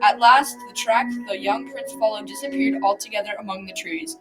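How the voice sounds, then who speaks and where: A woman's voice: mid-range voice, moderate pace, over music, close to an everyday voice. A girl of about twelve or thirteen narrating a folktale radio drama from a script, occasionally tripping over words. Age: child, around 12-13. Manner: read aloud with stumbles. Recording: noisy amateur school recording.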